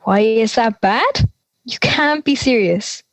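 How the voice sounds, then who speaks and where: A woman's voice: fairly high pitch, animated, slow, no echo. A young woman playing an anxious, exasperated teenage schoolgirl in conversational audio drama dialogue. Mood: worried, disbelieving. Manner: exasperated, worried. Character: anxious schoolgirl dreading a class presentation.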